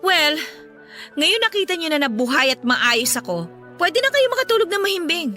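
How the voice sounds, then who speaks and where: A woman's voice: very high-pitched, big pitch swings, moderate pace, sharp tone, full character voice. A Filipino woman playing a hurt, defiant eighteen-year-old daughter in a radio drama, curt and reproachful. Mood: cold, sarcastic. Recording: music bed behind the voice.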